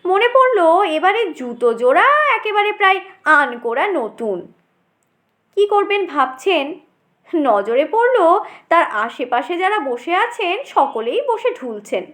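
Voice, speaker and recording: A woman's voice: extremely high pitch, very animated, takes it slowly, a bit bright. A woman narrating a humorous short story expressively, as an audiobook storyteller.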